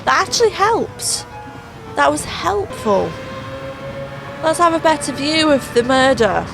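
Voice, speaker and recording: Slow, sarcastic woman losing her voice. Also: sits high, sing-song, low-quality recording. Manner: sarcastic, exasperated. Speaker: gaming channel host. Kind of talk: racing game voice-over commentary. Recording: voice over clear background music.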